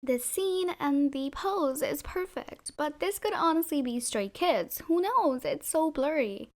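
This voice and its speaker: A woman with a high voice that has gone hoarse, talking through a scripted voice-over. She rates things with playful, sarcastic jabs and strong opinions. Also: expressive, measured pace, darkish tone. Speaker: YouTuber reviewing music video thumbnails.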